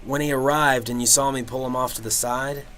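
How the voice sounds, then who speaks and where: A man's voice: medium pitch, fairly even intonation, fairly quick, fairly bright tone, a little hiss or hum, natural voice. A man playing a cynical, sarcastic detective in an amateur radio drama, with a blunt, grumbling delivery. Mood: suspicious. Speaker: actor.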